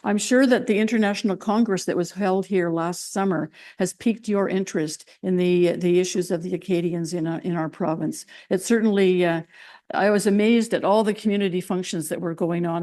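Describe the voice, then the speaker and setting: A woman's voice: fairly low pitch, fairly quick, dark tone. A woman hosting a seniors' college event, announcing courses and introducing the speaker in a chatty way with small restarts.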